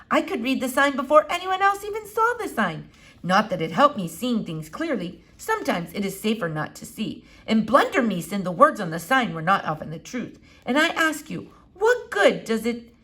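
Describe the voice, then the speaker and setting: A woman voicing an elderly, high-voiced, quaintly formal lady doctor. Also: fairly bright tone. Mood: musing. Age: older. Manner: formal, quaint syntax, digressive, soothing. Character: elderly foreign widowed philosophy doctor, gentle, rambling, precise. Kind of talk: children's book read-aloud.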